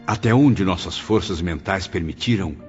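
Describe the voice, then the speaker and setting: Solemn spirit narrator, man acting, low voice, big reverb, muffled, formal audiobook. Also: normal speed, darkish tone, close to an everyday voice. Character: solemn male spirit recounting his studies. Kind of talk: scripted dramatized narration. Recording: long reverb, dull audio, faint music.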